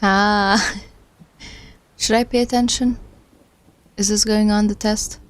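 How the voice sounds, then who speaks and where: A woman's voice: mid-range voice, little pitch movement, slow pace. A woman from Iraq hosting a study livestream, thinking aloud and chatting with viewers in a musing, self-deprecating way. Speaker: livestream host studying along. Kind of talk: casual thinking aloud while following a lecture.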